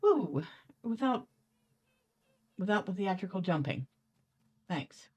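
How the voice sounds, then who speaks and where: A woman's voice: mid-range voice, lively intonation, slow pace, a little mellow, slightly rough. A woman giving casual let's play commentary as a game streamer, sometimes reading quest text aloud.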